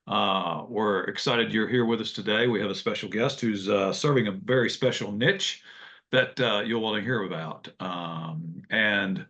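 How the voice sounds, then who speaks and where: A man hosting a business podcast, speaking in a friendly, halting way with many filler words. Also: lowish voice, pushed and bright. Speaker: podcast host. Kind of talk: podcast interview.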